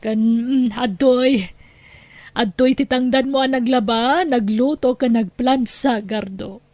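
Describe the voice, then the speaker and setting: An actress playing a generous, wealthy woman employer who speaks in a brisk way. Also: a little high, darkish tone, dry recording. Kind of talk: radio drama acting.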